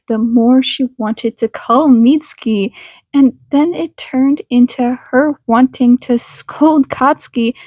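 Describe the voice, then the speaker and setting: A woman narrates a piece of fan fiction in audiobook style. Her voice sits high, and she moves through the text at a slow pace. The sound is dry, with very little room around it. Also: a little mellow, no room sound. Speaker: podfic reader.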